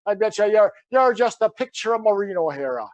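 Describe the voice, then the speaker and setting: A man's voice: sits high, animated, fairly quick. A man playing a chatty, easily offended Irish court clerk with folksy exclamations, in an improvised comedy sketch. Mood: sentimental, flattering. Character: chatty, touchy, sentimental old-fashioned Irish family-court clerk.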